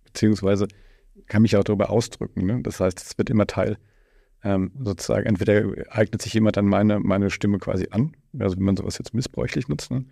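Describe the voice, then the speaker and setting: A man with a low, creaky voice hosts a casual podcast conversation. He circles around his thoughts in rambling phrases. The sound is close and dry. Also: fairly even intonation, brisk, mellow, no room sound. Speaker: podcast host interviewing a guest.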